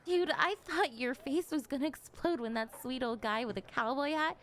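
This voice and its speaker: A woman playing a slangy, affectionate, apologetic young skater in an ASMR roleplay, talking haltingly and emotively to an old friend. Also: sits high, slight background noise, close to an everyday voice. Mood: warm, nostalgic. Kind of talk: ASMR roleplay acting, casual one-sided dialogue.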